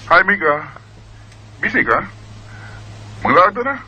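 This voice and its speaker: A man's voice: high-pitched, sing-song, measured pace, bright, forceful tone, husky, muffled recording, exaggerated voice. A man with a Filipino Bisaya accent playing an earnest, easygoing young suitor in an amateur radio drama. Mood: easygoing, inviting. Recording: noisy homemade radio drama recording.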